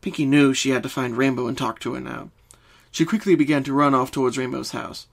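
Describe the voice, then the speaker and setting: Male narrator for a scripted dramatic reading, mid-range pitch, storytelling delivery. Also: steady tone, a little fast, gravelly.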